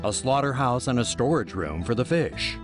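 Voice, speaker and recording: A man reading a scripted news voice-over in a lively, wry manner. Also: medium pitch, moderate pace, over music. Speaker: news narrator.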